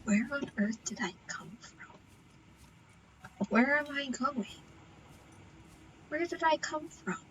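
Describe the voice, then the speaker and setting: A woman's voice: sits high, lively intonation, slow, long pauses, fairly bright tone, slight background noise, low-quality recording. A woman streamer giving casual, playful gameplay commentary, musing aloud to herself. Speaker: game streamer.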